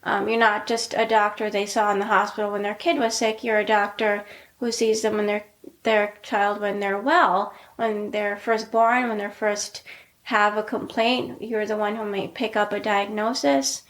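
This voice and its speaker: A middle-aged woman, a pediatrician co-hosting a podcast, talking casually in a soft voice with many "like" fillers. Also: mid-range voice, moderate pace. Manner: soft-spoken, many "like" fillers, steady even delivery. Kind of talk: casual conversational chat.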